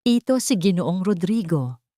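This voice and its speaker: Woman playing formal male lawyer in audio drama, accusing someone in court, lowish dry voice. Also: very animated, measured pace, warm tone, no echo. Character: accusing male opposing lawyer addressing a judge.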